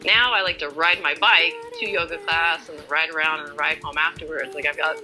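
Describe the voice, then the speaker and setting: A woman's voice: sits low, fairly even intonation, fairly quick, music in the background, poor audio. A woman in her forties answering as an interview guest in a casual, chatty, informal and self-deprecating way. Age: 40s.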